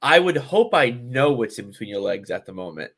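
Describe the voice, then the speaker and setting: A man's voice: mid-range voice, sing-song, brisk, fairly bright tone, slightly rough. Casting: young male co-host, easygoing, jokey, rambles. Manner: joking, rambling, laughs a lot. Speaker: podcast co-host. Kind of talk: casual chat.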